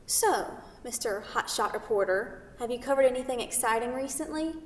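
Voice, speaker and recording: A brash city woman, acted by a woman in a staged play reading, needles and teases with pushy, playful sarcasm. Her voice sits on the high side and comes from far off in a very echoey hall. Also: moderate pace, close to an everyday voice. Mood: curious, playful. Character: brash, teasing New York City woman, a science scholar. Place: theatre, recorded from a distance. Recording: distant mic in echoey hall.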